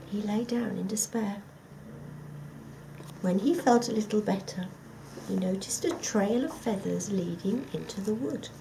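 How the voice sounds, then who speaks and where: A woman reading a children's story aloud in an expressive narrating style. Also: mid-range voice, vocal fry, noisy background. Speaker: story reader.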